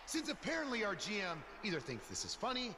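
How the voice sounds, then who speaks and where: A man's voice: sits high, huge pitch swings, fast talker, crowd noise. An actor playing an arrogant, indignant male pro wrestler, sneering and emphatic in a video game promo. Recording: echoing arena sound, slight noise.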